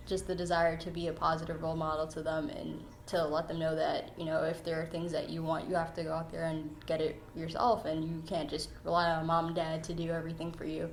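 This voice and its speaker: A woman's voice: deep voice, flat delivery, fairly quick. A nineteen-year-old woman from New York City giving a personal interview as an officer of a youth organization. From New York City, United States. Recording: echoey room with faint noise.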